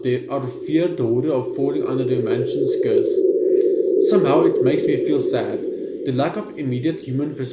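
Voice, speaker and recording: Young man reading aloud in echoey room. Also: mid-range voice. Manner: halting when reading, stumbles over words. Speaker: gaming video host. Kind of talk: reading game text aloud with casual commentary. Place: indoor room at home. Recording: roomy echo, noticeable background noise.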